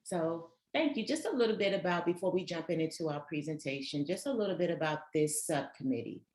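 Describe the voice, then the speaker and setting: Low-voiced woman hosting, full of ums. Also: animated, brisk, a little mellow, echoey room. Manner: hesitant, many "um" fillers. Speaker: subcommittee co-chair and moderator. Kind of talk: hosting a virtual learning session.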